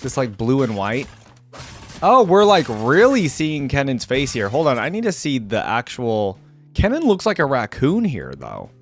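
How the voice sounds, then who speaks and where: A young man giving casual, expressive reaction commentary as a video host, easily amused. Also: mid-range voice, moderate pace. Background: game audio and music.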